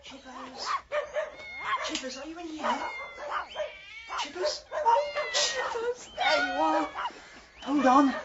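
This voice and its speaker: An English actress playing a brisk, imperious middle-aged woman detective in a British radio drama. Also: sits high, big pitch swings, dull audio, very noisy, full character voice. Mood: anxious, relieved.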